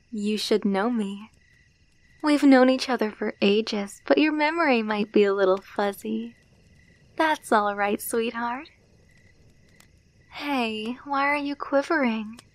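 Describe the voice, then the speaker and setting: A menacing female alpha werewolf, acted by a woman in an ASMR roleplay, speaking very slowly in a fairly high voice. She is possessive and teasing, toying with her captive and slipping into whispers. The sound is close and dry. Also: dry recording. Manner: slow, teasing, domineering, dropping into whispers.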